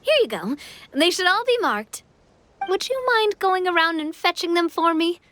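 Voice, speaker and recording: A woman's voice: extremely high pitch, expressive, normal speed, a bit bright, dry recording. A woman playing an upbeat, confident young female city leader in an anime game, with a playful, casual manner. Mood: explaining, upbeat. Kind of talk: anime game dub dialogue.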